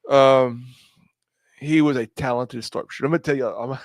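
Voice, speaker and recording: A man telling stories casually on a podcast, often halting and searching for his words. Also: mid-range voice, fairly even intonation, no echo. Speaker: podcast co-host. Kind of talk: casual storytelling on a live podcast.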